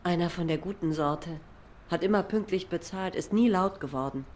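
A woman plays an obliging young diner waitress in a noir game. Her voice is slightly low, plain and hesitant, with faint noise behind it. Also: normal speed, a little mellow, close to an everyday voice. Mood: thoughtful, sympathetic. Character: obliging, down-to-earth cat waitress, young adult. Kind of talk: noir video game dialogue.

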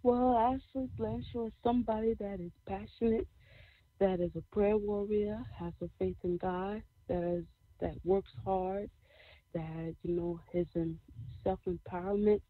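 A woman guest and motivational speaker answering in a faith-based interview, soft-voiced and preachy, leaning on "you know" and "all right". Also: mid-range voice, steady tone, measured pace, dry recording. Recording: tinny phone line, dry.

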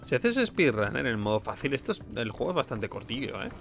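A man with a Spain accent comments casually and sarcastically on a video game. His voice is bright and mid-pitched with some fry, and music plays faintly behind him. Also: very animated, brisk.

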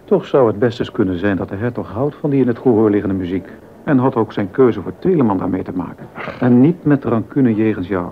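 A worldly confidant, slightly muffled recording. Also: male voice, lowish voice, animated, a little fast. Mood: sympathetic. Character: baroque musician's worldly, sympathetic confidant, gossipy. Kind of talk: Dutch docudrama dialogue. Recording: dull sound with background noise.

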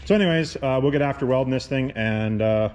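A man's voice: medium pitch, brisk, darkish tone. Rambling man in a welding shop. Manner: rambling, self-correcting, plain-spoken. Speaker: welder and channel host. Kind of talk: casual workshop how-to talk. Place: cluttered workshop garage.

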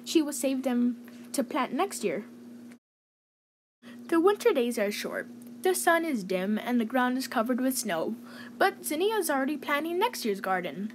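A woman reading a children's story aloud in an expressive, clearly enunciated storytelling manner. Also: sits high, moderate pace, slight background noise, low-quality recording. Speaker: host reading children's picture book aloud.

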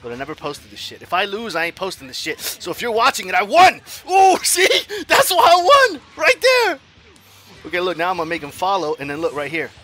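A man in his forties commenting on his own online fighting game matches, swinging from low mutters to loud yells, laughing and cursing at himself and his opponent. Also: huge pitch swings, fairly quick, soft background music. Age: 40s. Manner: profane, self-mocking trash talk. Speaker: gaming YouTuber. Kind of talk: live gameplay commentary.

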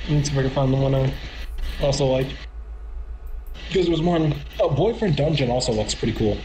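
A man's voice: mid-range voice, a little fast, a crowd in the background. A young man in casual live-reaction chat, talking in a slangy way as a streamer. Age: young adult. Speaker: streamer reacting to a game showcase. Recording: noisy home stream audio.

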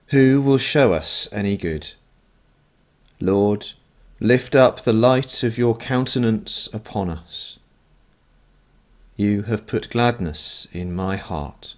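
A man speaks as a priest leading evening worship. His voice is on the low side and his delivery is very slow. He reads psalms and prayers solemnly, setting out each phrase in turn. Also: darkish tone. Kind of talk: liturgical prayer and scripture reading.

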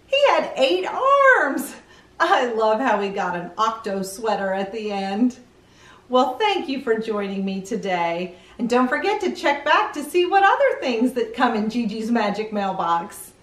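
A middle-aged woman presenting to young children in an animated way, often asking simple questions. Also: fairly high pitch, measured pace, reverberant, distant mic. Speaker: children's read-aloud show host.

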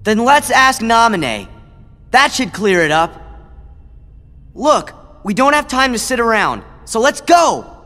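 A man's voice: very high-pitched, big pitch swings, a little slow, long pauses, pushed and bright, in a large hall, full character voice. A young actor voicing a stubborn teenage boy hero who talks defensively and impatiently. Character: spiky-haired teen boy hero, stubborn and headstrong. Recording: game cutscene audio with music.

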